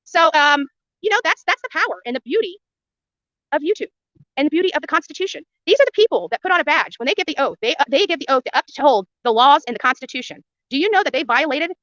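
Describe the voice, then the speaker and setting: A woman's voice: high-pitched, animated, fast, a bit bright, no echo, muffled recording. A woman reporting live to her viewers outdoors as an independent commentator, speaking in an indignant, blunt and emphatic way and repeating phrases for emphasis. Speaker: independent livestream reporter, host of own show. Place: outdoors at a roadside in front of a house.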